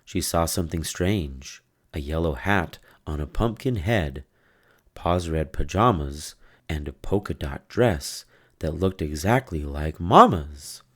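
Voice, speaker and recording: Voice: very deep voice, lively intonation, slow pace, fairly bright tone, husky. A narrator reading a children's storybook aloud from a script.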